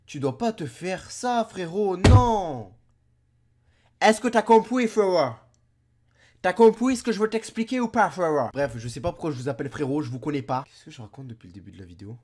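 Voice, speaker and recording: A man's voice: fairly high pitch, lively intonation, normal speed, a little mellow, a little hiss or hum. Stuffy-nosed young man joking around. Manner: rambling, playful slangy absurd jokes. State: stuffy nose from a cold. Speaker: video commentator. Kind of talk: comic reaction commentary.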